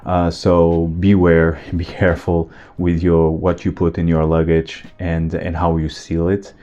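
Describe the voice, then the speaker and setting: A man's voice: deep voice, monotone, measured pace, warm tone, muffled recording. A man with a Portuguese accent giving a casual, enthusiastic video review as a channel host.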